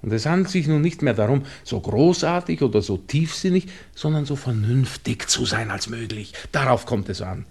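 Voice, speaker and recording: An actor playing a jovial but sensible young gentleman in a radio drama, bossy and mock-formal, with a Viennese accent. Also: medium pitch, animated, normal speed, hardly any pauses, slightly rough. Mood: brisk, sensible. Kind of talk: German-language radio drama acting.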